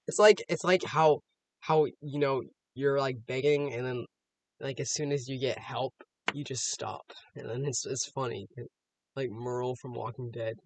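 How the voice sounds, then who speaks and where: A man's voice: mid-range voice, low-quality recording. A man giving casual, off-the-cuff reaction commentary, musing aloud and posing rhetorical questions. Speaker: reaction video host.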